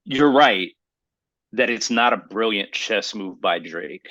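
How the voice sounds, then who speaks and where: A man's voice: fairly low pitch, takes it slowly, bright, forceful tone, no room sound. A man talking as a podcast guest in casual, slangy, argumentative banter.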